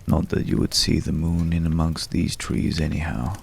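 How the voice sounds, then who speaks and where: A man voicing a courtly, world-weary old companion in an intimate ASMR roleplay, speaking in formal, archaic phrases with long pauses and a gravelly low voice. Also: flat delivery, slight background noise, full character voice. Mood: courtly, tender.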